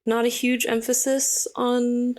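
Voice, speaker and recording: A young woman from Hawaii, a guest artist, chatting casually in an interview with a relaxed, rambling manner and lots of fillers. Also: a little high, takes it slowly.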